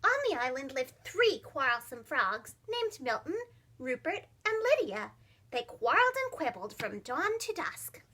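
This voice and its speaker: Very high-pitched woman reading a storybook. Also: unhurried. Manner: expressive, simple phrasing for young children. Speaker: preschool teacher and storyteller. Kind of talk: children's storybook narration.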